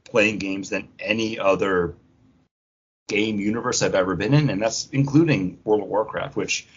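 A man co-hosting a podcast in casual, animated pop culture chat, swearing freely and acting out imagined quotes. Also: fairly low pitch, normal speed, husky. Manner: profane, acts out imagined quotes mid-talk. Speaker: podcast co-host. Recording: dull, lo-fi audio.

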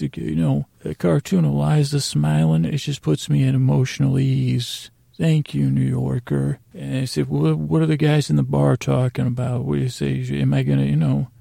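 A man hosting a podcast with a rambling bedtime monologue, meandering, halting and circling back on his own sentences. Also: medium pitch, creaky, warm tone, dry recording. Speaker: podcast host.

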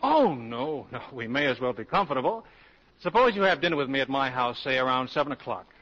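An actor playing a suave, self-assured, flirtatious college professor in a 1940s radio comedy, talking smoothly and insistently. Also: male voice, mid-range voice, very animated, brisk, a bit bright. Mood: coaxing, assured. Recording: muffled 1940s radio broadcast.

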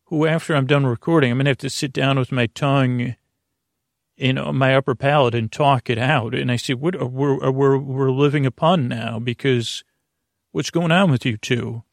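Male bedtime podcast host, gravelly fry, close dry sound, halting and circuitous, soothing. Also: medium pitch, fairly even intonation, no echo. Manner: meandering, halting with many trailing-off repeats; gentle, reassuring. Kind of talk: rambling, whimsical bedtime monologue.

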